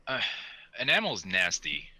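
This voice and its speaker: Man, lowish pitch, extremely sharp bright tone, blunt, wisecracking, casual podcast banter. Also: wildly swooping pitch, unhurried, no echo, low-quality recording. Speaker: podcast co-host.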